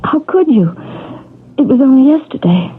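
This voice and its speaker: A woman's voice: high voice, expressive, normal speed, slightly rough. An actress playing an anxious, desperate woman who stammers and hesitates in a radio drama. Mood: distressed. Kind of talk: 1970s radio drama acting. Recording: tinny old radio broadcast.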